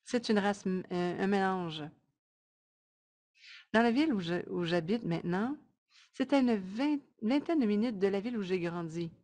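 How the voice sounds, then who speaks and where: A woman speaks at a medium pitch. She sounds like a live interpreter relaying a webinar presentation. Her delivery is measured and a little halting, arriving in short phrases. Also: a little mellow.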